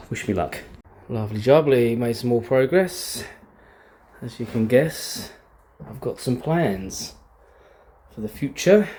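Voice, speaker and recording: British male vlogger, mid-pitched, informal video diary delivery, some background noise. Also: a little mellow. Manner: informal, chatty, thinking aloud. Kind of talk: casual video diary, talking while showing the space.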